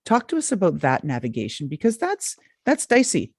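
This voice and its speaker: A woman's voice: fairly low pitch, wildly swooping pitch, normal speed, darkish tone, breathy edge, dry recording, studio quality. A woman hosting a podcast who asks long, enthusiastic questions. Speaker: podcast host. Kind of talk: interview questions.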